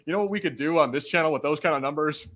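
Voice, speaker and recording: A male podcast host in relaxed conversation. He talks informally, leaning on slang and the occasional swear word. The delivery is off-the-cuff banter between co-hosts. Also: fairly high pitch, rapid-fire.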